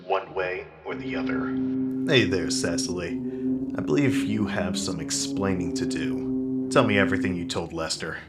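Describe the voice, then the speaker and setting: Man playing a low-voiced deadpan detective in echo. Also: moderate pace, far from the mic, natural voice. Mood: deadpan, self-assured. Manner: deadpan, self-serious. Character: deadpan, clueless, self-assured male private detective. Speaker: narrator and lead detective character. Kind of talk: hard-boiled detective parody narration. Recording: echoey room. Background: music.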